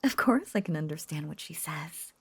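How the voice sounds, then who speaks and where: A woman voicing an obsessive, possessive witch in an intimate roleplay audio drama, cooing and coaxing in soft, breathy half-whispers. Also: low voice, lively intonation, moderate pace, full character voice. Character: lovesick yandere witch, obsessive, possessive, sweetly unhinged.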